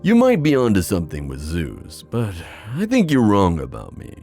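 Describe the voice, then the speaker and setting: A man playing a wistful, theatrical sea god in an ASMR fantasy roleplay, musing with hesitant pauses and drawn-out phrasing. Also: on the low side, wildly swooping pitch, dark tone, soft background music. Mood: thoughtful, skeptical.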